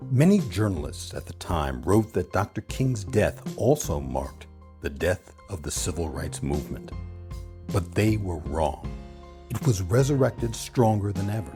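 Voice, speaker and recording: A man narrates a scripted documentary with deliberate emphasis. His voice is low and mellow, with lively, dramatic swings in pitch, over background music.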